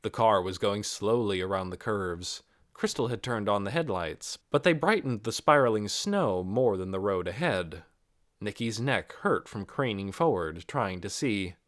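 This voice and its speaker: An audiobook narrator reading a novel in a dramatic, expressive storytelling manner. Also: low voice, unhurried, no room sound, clean studio sound.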